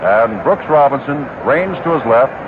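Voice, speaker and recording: A man calling a baseball game live on radio, brisk and matter-of-fact. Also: medium pitch, expressive. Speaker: play-by-play announcer. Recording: tinny, hissy 1969 radio broadcast.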